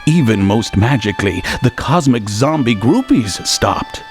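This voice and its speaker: A male narrator performs rhymed, theatrical storytelling at a slow pace. His pitch swings widely as he savors the alliteration, with music underneath. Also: lively intonation. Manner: dramatic, relishing rhyme and alliteration. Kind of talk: theatrical scripted storytelling, rhymed beat-poet radio narration.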